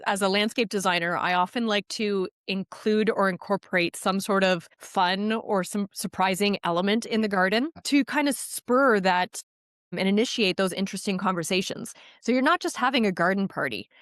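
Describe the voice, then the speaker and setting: Woman hosting a podcast, friendly and keen, middle pitch, dry close mic. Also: moderate pace, a bit bright, dry recording. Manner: friendly, enthusiastic. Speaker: podcast host, landscape designer. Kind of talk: casual podcast interview.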